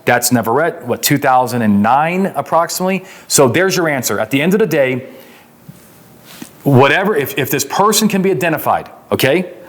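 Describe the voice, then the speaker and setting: A man's voice: mid-range voice, lively intonation, moderate pace. A man with an American accent giving an explanatory legal lecture in an emphatic, conversational way, often posing questions and answering them as an instructor.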